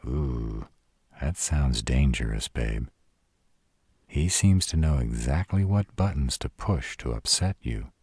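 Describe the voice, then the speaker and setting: A man's voice: rumbling low, lively intonation, a little mellow, breathy edge, dry recording, clean studio sound, heavily acted. A man playing a protective, devoted but brash were-dragon lover in a roleplay audio drama, speaking huskily and bluntly, with tenderness for a partner. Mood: affectionate, protective. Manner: slow, crude-tongued, tender with partner.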